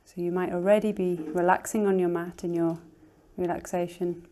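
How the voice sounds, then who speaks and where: A woman teacher leading a guided relaxation in a soothing, unhurried, gently instructing manner, her soft voice turning breathy at phrase ends. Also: lowish voice, little pitch movement.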